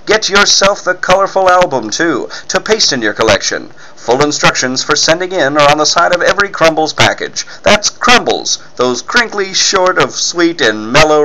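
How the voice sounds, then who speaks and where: A man's voice: on the high side, bright, forceful tone, a little hiss or hum, rough recording. A man reading scripted narration as a dramatic 1940s-style radio serial announcer.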